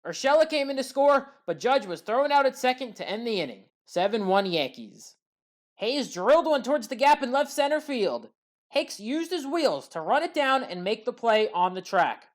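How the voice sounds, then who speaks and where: Woman doing sports highlight commentary, kinda high voice, reads each play out measured and matter of fact. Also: sing-song. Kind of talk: scripted baseball play-by-play recap narration.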